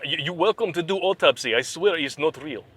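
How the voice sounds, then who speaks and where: A man's voice: a little high, animated, fairly quick, a bit bright, husky. A man voicing a boastful Russian groom in a put-on Russian accent, broken English. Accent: fake Russian. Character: gruff Russian man married to a doll.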